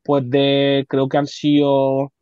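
A man's voice: medium pitch, slow, smooth, dry recording, dull audio. A man in his twenties from Spain, with a Barcelona-area Spanish accent, chatting casually as a guest on a video call, full of slang and fillers. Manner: slangy, relaxed, many fillers. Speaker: guest rapper. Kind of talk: casual interview chat. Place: indoor bright room. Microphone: video call webcam.

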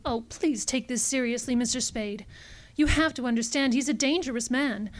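A nervous, well-mannered young woman played by an actress in a detective radio drama. Her voice is fairly high, and she pleads hesitantly with anxious politeness. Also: normal speed, natural voice. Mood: anxious, pleading. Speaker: actor. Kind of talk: hard-boiled radio drama acting.

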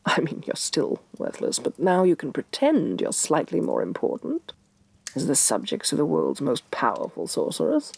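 A woman playing a domineering, vain evil sorceress queen in a soft-spoken ASMR fantasy roleplay, imperious and sardonic, with breathy condescension. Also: low-pitched, wildly swooping pitch, moderate pace, no room sound, exaggerated voice. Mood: exasperated, scornful. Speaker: voice actress.

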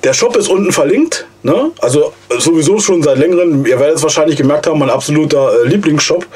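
A man in his forties, hoarse from a cold, casually and enthusiastically reviewing gear in a basement vlog. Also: a little high, lively intonation, fast talker, darkish tone. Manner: enthusiastic, chatty, slangy. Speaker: outdoor gear channel host. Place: cluttered basement storage room, indoors. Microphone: camera mic from a few steps away.

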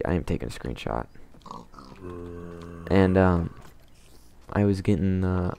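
A man calmly talking to himself while playing a game, in a soothing, mumbling way. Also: low voice, gravelly, smooth. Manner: calm, mumbling, self-talking. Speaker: gamer. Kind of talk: casual let's play commentary.

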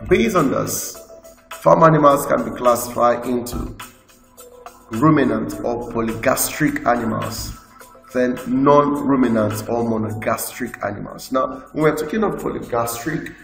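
A man with a Nigerian English accent, teaching a school lesson by slowly reading out lists from slides. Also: mid-range voice, gravelly, a bit bright. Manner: slow, list-like reading with explanations. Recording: echoey room with long reverb, faint music.

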